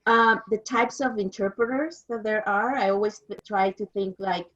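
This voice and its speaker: A woman's voice: medium pitch, fairly even intonation, normal speed, fairly bright tone, muffled recording. A woman of fifty with a Mexican accent, a native Spanish speaker, giving an informal explanatory presentation as an interpreter.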